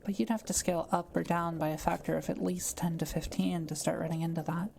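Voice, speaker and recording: A woman playing a kind, quiet witch in an audio roleplay, speaking gently and carefully as she reassures and explains things to the listener. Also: lowish voice, fairly even intonation, a little fast, gravelly, slight background noise. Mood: patient, explaining. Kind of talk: soft-spoken roleplay audio, talking to the listener.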